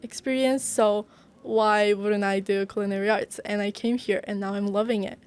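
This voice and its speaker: A young woman from Brazil, raised in Japan, speaks as a student guest in a relaxed interview. She talks earnestly and keeps leaning on "like" between thoughts. Her voice sits at an ordinary middle pitch. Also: moderate pace, clear, resonant tone. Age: young adult. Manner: earnest, uses many "like" fillers. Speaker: guest, culinary arts student. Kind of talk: casual interview chat.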